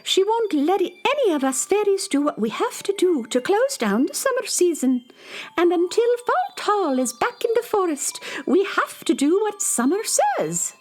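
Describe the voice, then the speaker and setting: A tiny fairy in a children's radio play. The voice is extremely high and lilting, with lively swings. The delivery is bubbly and sing-song. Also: female voice, normal speed. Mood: urgent, worried. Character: small chirpy female fairy calling for help. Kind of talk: children's audio drama acting.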